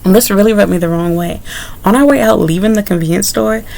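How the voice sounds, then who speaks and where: A woman's voice: medium pitch, fast talker, gravelly, darkish tone. A young adult woman vlogger telling a personal story in a candid, rambling way, with frequent asides to her viewers. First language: English. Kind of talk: casual story-time monologue.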